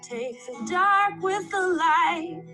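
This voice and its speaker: A woman's voice: extremely high pitch, lively intonation, takes it slowly. A woman singing a country folk song with guitar accompaniment.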